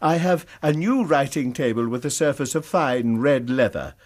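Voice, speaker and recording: Actor as an anxious British college lecturer, animated, husky. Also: male voice, mid-range voice, moderate pace, natural voice. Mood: anxious, precise. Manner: precise, formal, earnest. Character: anxious, proper college lecturer reporting a crime. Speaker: actor in a radio drama. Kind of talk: British radio drama acting.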